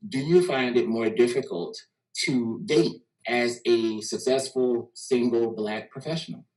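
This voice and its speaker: A man's voice: medium pitch, darkish tone, rough recording. A man with a heavy Louisiana and Texas Southern accent, hosting a panel in a loose, joking way full of slang. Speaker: host. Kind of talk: casual hosted chat.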